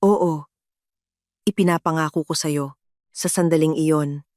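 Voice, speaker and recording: A woman's voice: low voice, steady tone, a little slow, darkish tone, no room sound, clean studio sound. A woman voicing a protective middle-aged mother, tender with an angry edge. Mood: firm.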